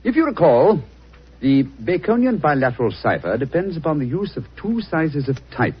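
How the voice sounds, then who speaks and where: An actor playing an eager, self-assured English gentleman detective, precise and theatrical, in a 1940s radio drama. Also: on the high side, very animated, normal speed, slightly rough. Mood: keen, analytical. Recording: muffled, hissy vintage radio recording.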